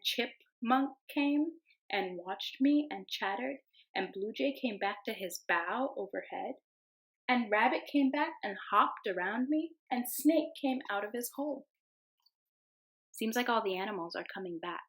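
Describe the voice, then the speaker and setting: A young woman teacher reads a picture book aloud for her class, gentle and unhurried. Her voice sits a little high. When the child in the story calls to the animals, she lifts into a bright sing-song. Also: lively intonation, poor audio.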